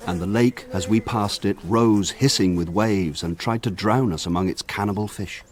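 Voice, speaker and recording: An actor narrating a radio drama as a grown man remembering his village boyhood, in a lyrical, measured way. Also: fairly low pitch, close to an everyday voice. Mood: wistful.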